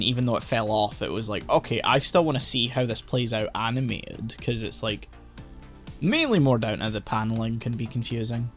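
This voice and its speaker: Man, podcast co-host, rambling, filler-heavy, medium pitch, faint music behind. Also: fairly bright tone. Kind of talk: casual chat about anime. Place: home, recording online.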